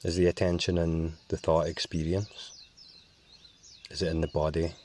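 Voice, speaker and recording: A man guiding a meditation in a calm, measured way with long pauses. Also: low voice, slight background noise. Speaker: meditation guide. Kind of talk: slow guided meditation.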